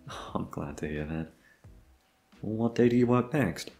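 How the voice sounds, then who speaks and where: A man voicing a flirty, encouraging grocery store coworker in an ASMR roleplay, gentle and coaxing. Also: lowish voice, expressive, a little slow, soft background music. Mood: encouraging, sweet. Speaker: voice actor.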